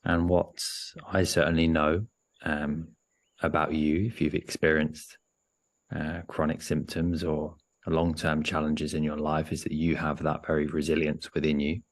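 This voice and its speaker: A man leading a guided meditation in a gentle, unhurried way, often pausing mid-sentence. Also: very deep voice, little pitch movement.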